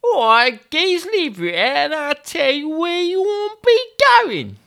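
A man voicing a cheeky, streetwise thirteen-year-old paperboy in a high-pitched voice. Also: huge pitch swings, slow, creaky, sharp tone, heavily acted.

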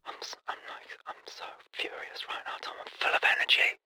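A man voicing a scheming male vandal who whispers down a phone in a breathy, gravelly hush. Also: brisk. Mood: conspiratorial. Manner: hushed, conspiratorial, then shouting in triumph. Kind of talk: improvised comedy bit.

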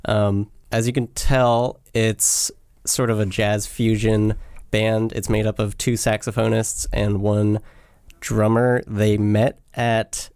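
A man's voice: lowish voice, takes it slowly, clear, resonant tone. A young man hosting a casual music podcast, his voice scratchy from a cold, chatting loosely with lots of fillers. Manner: relaxed, filler-heavy, says "like" often. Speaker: podcast host and editor. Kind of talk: casual music-recommendation chat.

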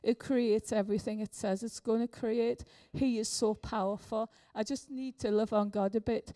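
An older woman preaching a sermon, a little hoarse from a cold, effusive and exclamatory. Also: on the high side, steady tone, moderate pace, warm tone.

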